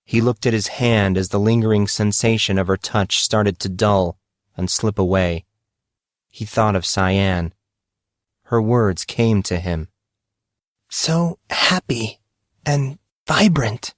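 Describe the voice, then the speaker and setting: A man with a low voice narrates scripted drama at a very slow pace. His delivery is measured and dramatic, and the recording is close and dry. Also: steady tone, no echo.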